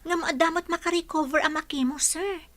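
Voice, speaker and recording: Bright, high-voiced young caregiver. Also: female voice, fairly quick, no echo. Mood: gently encouraging. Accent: Filipino (Ilocano). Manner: earnest, pleading, expressive. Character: earnest, caring young female caregiver, respectful but spirited. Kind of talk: radio drama acting. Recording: noisy radio drama recording.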